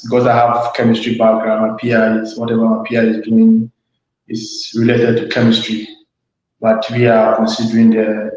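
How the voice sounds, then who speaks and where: A young man with a Ghanaian accent speaking as an interview guest, halting and often repeating words. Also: mid-range voice, a little mellow. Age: young adult. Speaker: webinar guest, PhD student. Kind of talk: informal interview, introducing himself. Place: study room, online call. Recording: echoey room, muffled online call audio.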